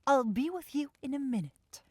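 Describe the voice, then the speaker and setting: A woman's voice: high-pitched, animated, normal speed, no room sound, studio quality. A woman playing a self-assured, impatient demon shopkeeper in a fantasy ASMR audio roleplay, with a theatrical, coaxing salesy manner. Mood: irritated. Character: bossy, salesy demon-like soul shop keeper sister, impatient.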